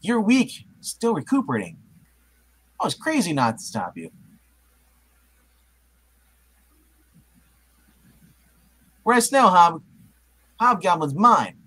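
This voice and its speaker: Someone reading as an earnest young hero guy, kinda husky, hammy and stumbly, voice goes up and down, comic puppet show. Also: medium pitch, wildly swooping pitch, a bit bright, heavily acted, low-quality recording. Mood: regretful. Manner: hammy, halting read-aloud with asides.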